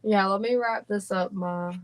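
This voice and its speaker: A young adult woman hosting a livestream in a relaxed, drawling, chatty way. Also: mid-range voice, unhurried. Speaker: streamer and host. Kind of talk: casual livestream chat.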